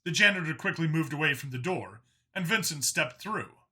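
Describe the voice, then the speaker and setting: A man's voice: fairly high pitch, lively intonation, moderate pace, bright, forceful tone, breathy edge. A man narrating a scripted science fiction story as an audiobook reader.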